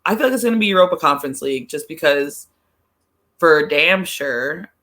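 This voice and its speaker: Bright-voiced woman chatting about sports. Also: sits low, lively intonation, normal speed. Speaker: podcast guest, soccer pundit. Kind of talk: casual sports chat, giving predictions.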